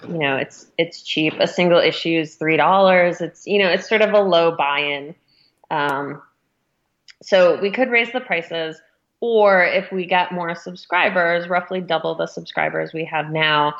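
A woman speaking as an interviewed magazine editor, explaining things in an informal, conversational way with some hedging. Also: sits low. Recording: dull, muffled lo-fi audio.

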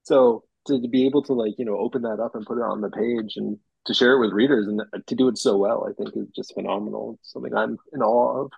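A man's voice: mid-range voice, fairly even intonation, fast, vocal fry, hardly any pauses, husky, no room sound, muffled recording. A man, a horror writer, chatting casually on a podcast in a rambling, self-deprecating way with many fillers.

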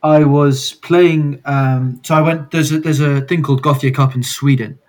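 A man's voice: a little high, fairly even intonation, moderate pace, a little mellow. Rambling young man, soccer guest. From born in Scotland, raised in Singapore. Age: young adult. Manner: rambling, many fillers and restarts. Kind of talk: casual podcast interview.

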